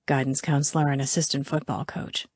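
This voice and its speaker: A woman voicing a young man who murmurs wry gossip softly and intimately in an audiobook. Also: deep voice, fairly even intonation, moderate pace, no room sound, clean studio sound. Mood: hushed, wry. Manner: hushed, whisper-soft, wry.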